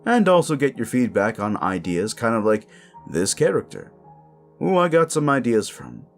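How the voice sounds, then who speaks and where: A man's voice: medium pitch, wildly swooping pitch, normal speed, creaky, soft background music. A man speaking casually to his listeners as the creator and host of an audio channel. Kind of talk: casual outro addressed to listeners.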